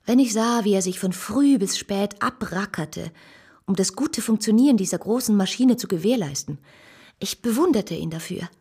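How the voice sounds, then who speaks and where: Composed, husky bourgeois lady narrator. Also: medium pitch, expressive, moderate pace, mellow. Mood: reflective. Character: composed, compassionate bourgeois lady recounting her story. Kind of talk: radio drama narration and dialogue.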